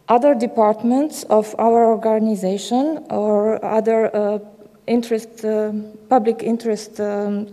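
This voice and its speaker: A woman with a Romanian accent speaks slowly and haltingly, stumbling through a technical talk with many filler sounds. Her voice sits a little high but sounds very dark and mellow. It rings with the long reverb of a large conference hall. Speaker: conference presenter. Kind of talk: technical presentation.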